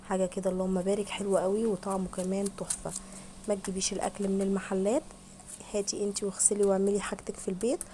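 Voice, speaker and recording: Hoarse Egyptian woman, fast chatty voice-over. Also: mid-range voice, dark tone, slight background noise. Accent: Egyptian Arabic. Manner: chatty, addresses viewers directly with frequent blessings. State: hoarse from a cold. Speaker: home cooking vlogger. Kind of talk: casual voice-over vlog.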